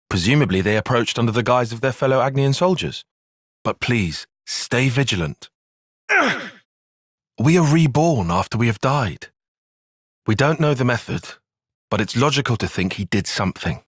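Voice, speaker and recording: A young male tactician from a video game, earnest yet guarded. His phrasing is precise and analytical, with a sarcastic bite and a very mobile pitch. The voice has a slightly breathy, husky grain and sits close and dry, without room sound. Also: no room sound. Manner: precise, articulate, dryly sarcastic, measured. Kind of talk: video game cutscene voice acting.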